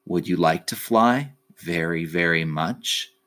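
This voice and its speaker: A man's voice: deep voice, takes it slowly, a bit bright. A man voicing an old female alley cat, polite and well-traveled, reminiscing in a courteous storybook manner.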